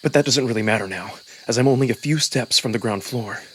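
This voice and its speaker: A man's voice: mid-range voice, fast talker, fairly bright tone, husky. A man narrating a visual novel in the first person, theatrical and immersed in the story. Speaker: narrator and voice performer. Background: music under the voice.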